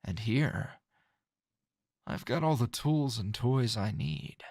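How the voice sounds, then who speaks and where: A man playing a weary, flirtatious male teacher in an intimate ASMR roleplay, with a languid, dry drawl full of sighs and pauses. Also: on the low side, sing-song, darkish tone, dry recording, full character voice. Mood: teasing, soothing.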